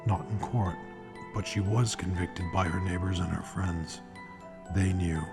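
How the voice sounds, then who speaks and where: A solemn, theatrical mystery storyteller performed by a man in the old radio manner. His voice is lowish and gravelly, with deliberate dramatic pauses over clear music. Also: fairly even intonation. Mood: solemn, ominous. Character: grave, theatrical male true-crime storyteller host. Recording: music behind the voice.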